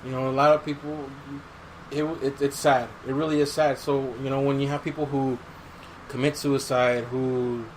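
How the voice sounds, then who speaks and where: Male podcast co-host, medium pitch, casual talk, repeated phrases, faint background noise. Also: level tone, moderate pace, low-quality recording. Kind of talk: casual chat.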